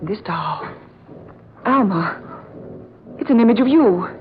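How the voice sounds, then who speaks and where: An actress playing a well-bred, alarmed and inquisitive young lady in an old radio horror drama, with deliberate dramatic pauses. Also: mid-range voice, animated. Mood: horrified wonder. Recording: muffled, noisy vintage radio recording.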